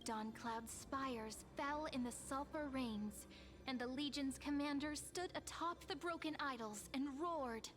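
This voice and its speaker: A woman voicing a gentle, playful young companion who narrates a legend and teases her friend, in soft scripted game dialogue over loud music. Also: high voice, unhurried. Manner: soft, teasing, storytelling.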